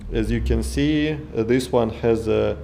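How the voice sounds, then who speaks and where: A man in his thirties with a Russian accent, talking through his workshop in halting English full of fillers and restarts, recorded on an action camera indoors. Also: medium pitch, creaky, dark tone, a little hiss or hum. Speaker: maker vlogger. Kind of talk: casual workshop vlog. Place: home workshop room, indoors. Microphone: action camera held or mounted close.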